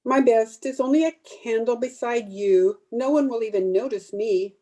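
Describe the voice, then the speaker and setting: A woman's voice: a little high, moderate pace, warm tone, natural voice, low-quality recording. A woman playing a wistful, self-effacing young bridesmaid in an old-time radio drama. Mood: wistful, bitter.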